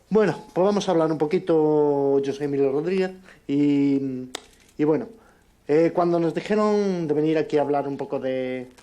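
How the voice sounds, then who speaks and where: A man with an accent from Spain giving an informal conference talk in a halting, conversational way. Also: medium pitch, dark tone. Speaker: conference speaker, amateur audio drama producer.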